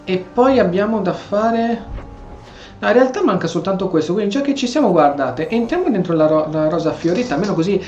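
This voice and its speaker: A man's voice: high voice, expressive, fast, darkish tone. An Italian man casually commentating on a video game as he plays, chatting and reading lines aloud. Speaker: gameplay streamer. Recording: roomy, dull mic over game music. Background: game audio and music.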